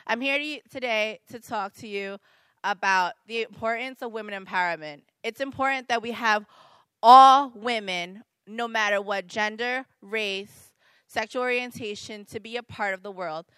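A woman from Brooklyn, a university student, giving a loud, earnest short speech to a forum. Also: fairly high pitch, moderate pace, clear, resonant tone, no room sound. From Brooklyn, New York.

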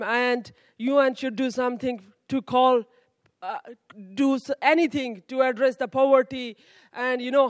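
Earnest guy from the audience, very high smooth voice, dry. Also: no room sound. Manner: earnest, pleading, slight hesitations. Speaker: audience member. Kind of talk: impromptu comment from the floor.

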